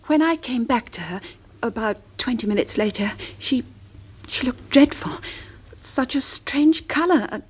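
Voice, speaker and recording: High, husky, shaken young woman. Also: lively intonation, measured pace. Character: shaken young female salon hair stylist. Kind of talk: British radio drama acting.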